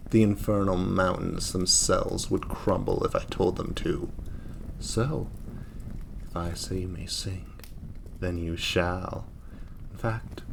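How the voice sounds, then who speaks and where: Casting: male actor for an imperious, seductive demon prince, slow and theatrical, audio drama with fire ambience and noticeable background noise. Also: low-pitched, gravelly. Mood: imperious, charming. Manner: silky, teasing, theatrical, unhurried. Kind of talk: intimate flirtatious roleplay audio drama. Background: crackling fire ambience.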